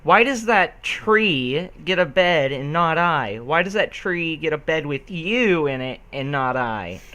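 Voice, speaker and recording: A man's voice: a little high, animated, measured pace, bright, forceful tone. A man voicing a confused, complaining female pony in a comic story reading. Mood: confused, whiny.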